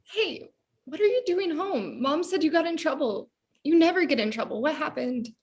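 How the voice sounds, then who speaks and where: A woman playing a weary, wry pregnant young sister in a sitcom table read, with a husky voice and a dry, exasperated manner. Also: high voice, lively intonation, moderate pace.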